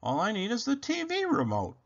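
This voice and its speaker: Fussy storybook rabbit played by a man: high, bright, whiny, swooping, easily flustered. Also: huge pitch swings, brisk, lo-fi sound, heavily acted. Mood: fussy, proud. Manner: whiny, exclamatory, dramatic. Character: excitable, fussy, easily panicked male rabbit. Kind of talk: children's storybook character voice.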